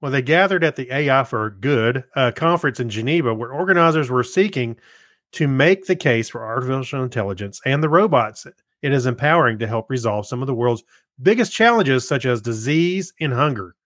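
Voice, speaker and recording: A man's voice: mid-range voice, lively intonation, brisk, fairly bright tone, no echo, clean studio sound. A man co-hosting a podcast, reading news and bantering in a loose, jokey, amused manner. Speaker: podcast co-host.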